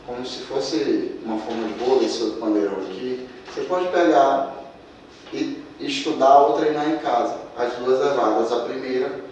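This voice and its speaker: A man's voice: mid-range voice, moderate pace, darkish tone, slight background noise. A man teaching a percussion workshop, counting beats aloud as he demonstrates drums. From Maranhão, Brazil. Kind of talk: instructional demonstration. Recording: echoey room, mic at a distance. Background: percussion instruments being played.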